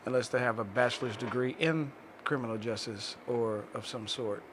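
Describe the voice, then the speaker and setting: A man in a casual podcast discussion, agreeable and quick to interject. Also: mid-range voice, background noise. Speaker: podcast panelist. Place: small home-style podcast studio. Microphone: podcast microphone on boom arm.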